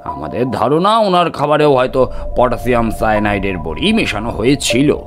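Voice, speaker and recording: A man playing a police investigator in an audio drama, explaining a case in a measured, deferential way. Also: medium pitch, very animated, close to an everyday voice. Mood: earnest, explaining. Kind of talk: Bengali detective audio drama acting. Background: music.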